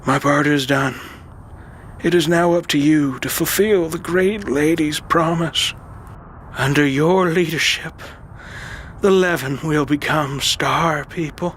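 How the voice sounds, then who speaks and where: A man acting a frail, devout old uncle in an audio drama, solemn and deliberate but fond and commanding. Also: on the high side, a bit bright, slight background noise. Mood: reverent, resigned.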